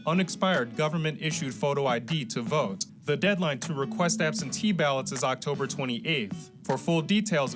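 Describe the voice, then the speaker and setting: Higher-pitched male announcer over guitar music. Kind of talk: scripted informational radio announcement.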